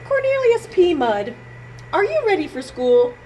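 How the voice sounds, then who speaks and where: A woman's voice: extremely high pitch, unhurried. A woman presenting a library storytime for children, playfully talking, reading aloud and singing straight to her young audience, with noise in the recording. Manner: animated, playful, talks directly to young children. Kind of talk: children's storytime with read-aloud and sing-along.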